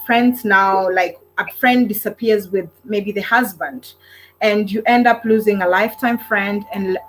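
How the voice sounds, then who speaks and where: A woman's voice: mid-range voice, a bit bright, slight background noise, poor audio. Effusive woman hosting a casual live stream. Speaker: host of a live online relationship panel.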